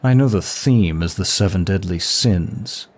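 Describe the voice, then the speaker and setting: A man playing a suave, teasing demon prince of lust in an ASMR roleplay, bored and sadistic, with a languid, husky delivery. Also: sits low, measured pace. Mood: bored, playful.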